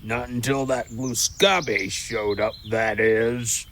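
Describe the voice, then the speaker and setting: Casting: a proud, easily offended giant squirrel for audio drama, bright forceful delivery, unhurried, blustering. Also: male voice, medium pitch, fairly even intonation, a little hiss or hum. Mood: boastful, storytelling. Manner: blustering, boastful storytelling. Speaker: voice actor. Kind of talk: audio drama character acting.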